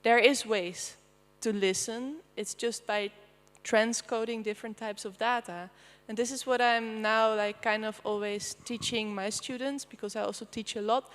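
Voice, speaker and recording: A woman's voice: on the high side, steady tone, normal speed, a bit bright. A woman from the Netherlands with a Dutch accent, presenting her artistic research at a panel in a loose, chatty way with many fillers. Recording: reverberant lecture hall.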